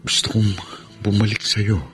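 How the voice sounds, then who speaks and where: An actor playing a remorseful man in a radio drama, pleading in a halting, regretful way with his former lover. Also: on the low side, completely flat delivery, fairly bright tone, close to an everyday voice. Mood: hesitant, pleading. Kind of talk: Filipino radio drama acting. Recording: music behind the voice.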